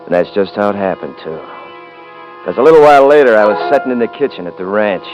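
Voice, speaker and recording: An actor playing a cocky, bullying young American rancher in a 1950s radio drama, with rustic, taunting talk. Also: male voice, fairly low pitch, animated, fast talker, dull audio, music in the background, close to an everyday voice. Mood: brooding, scheming. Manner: rustic drawling grammar, swaggering, taunting. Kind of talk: 1950s radio drama acting and first-person narration. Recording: noisy old 1950s radio recording.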